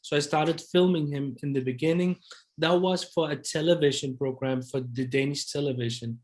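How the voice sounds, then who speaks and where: A man's voice: fairly high pitch, steady tone, normal speed, darkish tone. A man in his thirties, from Iraq, a documentary director answering panel questions in a hesitant way, with fillers and restarts.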